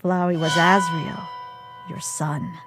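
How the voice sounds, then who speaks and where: A woman voicing a quiet, troubled child in a fan comic dub, speaking hesitantly. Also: on the low side, level tone, slow, over loud music. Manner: hesitant and subdued.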